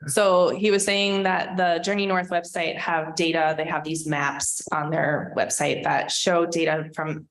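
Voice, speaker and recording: A woman's voice: sits low, level tone, unhurried, hardly any pauses, slight background noise. A woman giving an earnest public talk on conservation at a church forum, with frequent um fillers. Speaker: conservation presenter. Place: church meeting room.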